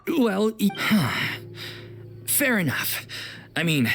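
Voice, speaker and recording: Low-voiced, modest young troupe member. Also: female voice, big pitch swings, moderate pace, soft background music. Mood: hesitant, humble. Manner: hesitant, courteous, earnest. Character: polite, modest, earnest young woman from an amateur theatre troupe. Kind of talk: video game voice acting.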